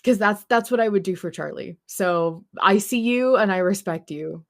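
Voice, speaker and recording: A woman's voice: medium pitch, normal speed. A woman with a mid-range voice hosts a fan discussion show. She talks informally, as in a casual chat between co-hosts. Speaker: podcast host.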